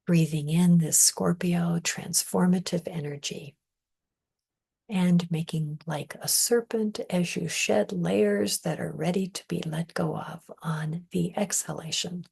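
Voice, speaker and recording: A woman leading a guided meditation in a soft, breathy, often near-whispered voice, calm, measured and soothing. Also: lowish voice. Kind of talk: guided meditation, scripted narration.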